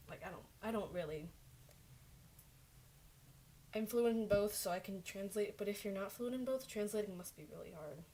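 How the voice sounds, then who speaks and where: A young woman, a native Chinese speaker, talks at an ordinary middle pitch as she works through her study notes on a livestream. She mutters, second-guesses and corrects herself as she goes. A faint background hiss sits under her desk microphone. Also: darkish tone. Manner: mutters to herself while checking answers, self-correcting.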